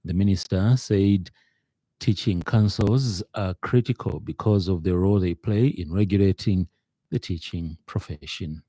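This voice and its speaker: Very dark, deep-voiced Zambian male newsreader. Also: measured pace, no room sound. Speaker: radio news reader. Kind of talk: scripted news bulletin. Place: radio studio.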